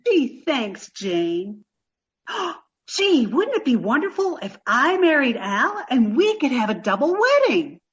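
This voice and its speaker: An actress playing a sweet, naive, dim-witted young woman in an old-time radio sitcom style, earnest and slow on the uptake. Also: high-pitched, very animated, dull audio, full character voice. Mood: naive, chirpy.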